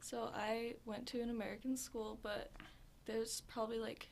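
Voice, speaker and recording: A teenage girl answers interview questions in a soft, gravelly voice with plenty of vocal fry. She fills her talk with "like" and "um". A faint hiss runs under the recording. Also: on the high side, level tone, normal speed. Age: teens. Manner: soft-spoken, filler-heavy with frequent "like". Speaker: interview guest. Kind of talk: casual interview.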